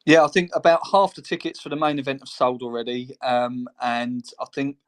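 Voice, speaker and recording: A man's voice: medium pitch, steady tone, brisk. A man co-hosting a podcast in casual chat, talking in a rambling, chatty way and laughing between phrases. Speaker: co-host.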